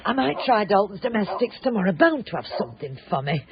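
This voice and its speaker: A woman playing an earthy, chatty working-class wife with a South Yorkshire accent and a husky voice in a radio drama. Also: medium pitch, big pitch swings, fast, a crowd in the background. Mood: chatty, curious. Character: earthy, eager, roly-poly working-class wife and cleaner. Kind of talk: naturalistic radio drama acting. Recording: dull, muffled radio broadcast.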